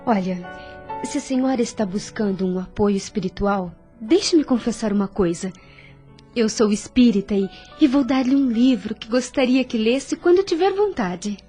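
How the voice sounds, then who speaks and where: An actress playing a friendly, devout young nurse in an audio drama, soothing, polite and earnest, with a Brazilian accent. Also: female voice, on the high side, animated, moderate pace, dark tone, lightly acted. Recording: music under the voice.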